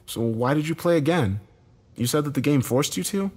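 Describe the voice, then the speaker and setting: A man's voice: fairly high pitch, expressive, normal speed, a little mellow, slightly rough, voice pushed up. A man playing a distraught, confrontational husband and father in a dramatic story, insistent and questioning. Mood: bewildered, questioning.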